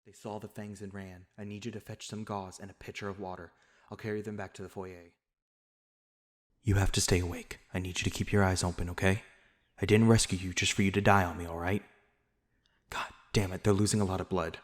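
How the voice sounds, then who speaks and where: Low-voiced, genteel male vampire acted by a man. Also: flat delivery, no echo, clean studio sound. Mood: worried, intent. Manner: formal, courteous, measured wording. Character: reclusive genteel male vampire lord, protective and calm. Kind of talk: ASMR roleplay acting, addressing the listener.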